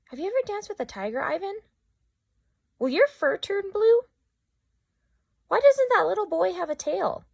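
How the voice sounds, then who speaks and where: Woman doing a high-pitched, eager young elephant girl. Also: sing-song, moderate pace, creaky, pauses often, darkish tone, no room sound, clean studio sound, exaggerated voice. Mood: eager, curious. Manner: eager, childlike questioning. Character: curious young female elephant, eagerly asking questions.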